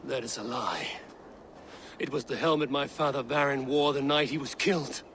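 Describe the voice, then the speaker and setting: A man's voice: medium pitch, normal speed, a bit bright, a little hiss or hum, lightly acted. An actor playing a stern, blunt male Viking warrior in a video game cutscene. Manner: blunt, stern, honor-bound.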